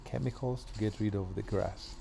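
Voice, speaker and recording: A middle-aged man from Kenya chatting casually while doing yard work outdoors, with relaxed pauses and fillers. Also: fairly low pitch, vocal fry, slight background noise. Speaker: vlogger.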